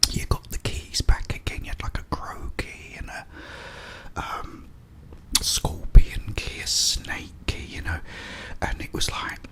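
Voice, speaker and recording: A man whispering a casual, unscripted ASMR ramble in a breathy voice, meandering along close to the microphone. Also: slow pace, fairly bright tone. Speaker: ASMR video host.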